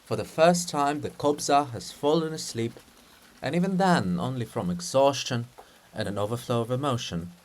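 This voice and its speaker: A man's voice: medium pitch, moderate pace. A man reading stage directions as narrator in a dramatic reading of a play.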